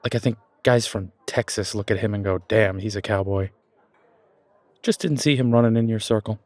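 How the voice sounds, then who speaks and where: A man's voice: on the low side, normal speed, a little mellow, no echo, lightly acted. A man playing a self-doubting chef in an audio roleplay, with a casual, hesitant, slangy way of talking. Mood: surprised. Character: self-doubting male chef, casual dreamer opening his restaurant. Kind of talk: fan audio roleplay acting.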